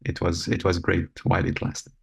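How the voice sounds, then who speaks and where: A man, speaking as an interview guest in a measured, thoughtful way with frequent pauses. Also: deep voice, completely flat delivery, vocal fry, breathy edge. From Lebanon. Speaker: guest, robotics company founder. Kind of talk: casual interview talk.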